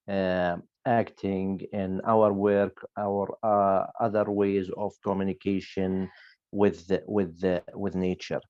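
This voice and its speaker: Middle-aged guy w a deep smooth voice, talks hesitantly and pretty monotone, dull lo-fi call audio. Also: no room sound. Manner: hesitant, searching for words with many pauses. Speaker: guest speaker, communications expert. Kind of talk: halting reflective presentation.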